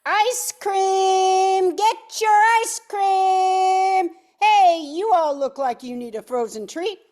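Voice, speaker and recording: A woman's voice: very high voice, slow, a little mellow. A woman playing a cheerful, generous ice cream vendor calling out to customers in an amateur radio play. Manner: calling out wares.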